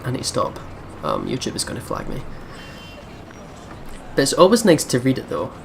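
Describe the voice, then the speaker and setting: Guy doing lets play commentary, middle pitched voice, reading story text out, noisy recording. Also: wildly swooping pitch, moderate pace. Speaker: let's play host. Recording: clearly noisy background.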